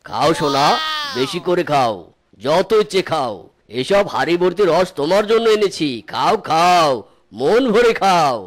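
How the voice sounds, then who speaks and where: A man's voice: on the high side, lively intonation, unhurried. A man voicing a flirtatious sap seller and lover in a comic cartoon, coaxing in a sugary manner. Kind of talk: Bangla comic cartoon voice acting.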